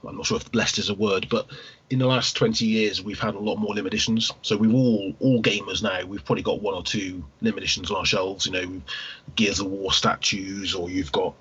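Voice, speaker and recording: A man's voice: low voice, steady tone, fairly quick, fairly bright tone, slight background noise. A man co-hosting a podcast and chatting casually about games, repeating phrases hesitantly as he explains. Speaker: podcast co-host. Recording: dull, lo-fi voice recording.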